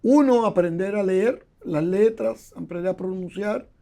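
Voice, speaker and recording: A man's voice: high-pitched, a little slow, creaky, dark tone. An older man explaining scholarly points at length in a conversational interview, often repeating words and building his points with examples. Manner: explanatory, lecturing with examples and repetitions. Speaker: guest, Bible scholar.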